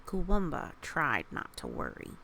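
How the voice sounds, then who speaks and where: A woman reading a children's story aloud in a gentle, encouraging way, with casual asides to young listeners and a creaky voice that often softens toward a whisper. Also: deep voice, wildly swooping pitch, unhurried. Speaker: storybook reader. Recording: faint background noise.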